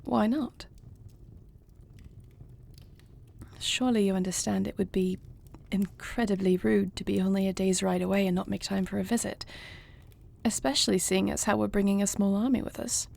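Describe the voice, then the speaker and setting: Woman playing a breathy, gentle, poised queen. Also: medium pitch, steady tone, brisk. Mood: poised, chiding. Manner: formal, courtly phrasing, soft and affectionate. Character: gentle, poised dragon queen, newlywed, tender and dryly witty. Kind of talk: intimate audio roleplay acting, addressed to the listener.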